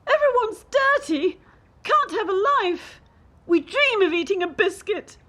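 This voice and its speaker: A woman's voice: very high-pitched, lively intonation, a little slow, a bit bright, heavily acted. A woman playing a distressed, idealistic young gymnast in a radio play, with an anxious, aggrieved and brooding manner. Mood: bitter, fed up.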